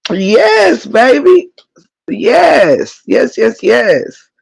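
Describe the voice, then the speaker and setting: A hoarse, slightly congested man hosting a livestream, reading the chat and ranting emphatically, with lots of swearing and repetition. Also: extremely high pitch, big pitch swings, very slow. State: hoarse, losing voice. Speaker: livestream host.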